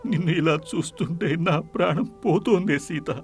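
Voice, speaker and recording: Man acting a desperate poor villager, highish voice. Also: normal speed, faint music behind, natural voice. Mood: anguished despair. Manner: pleading, self-pitying. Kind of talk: melodramatic moral story voice acting.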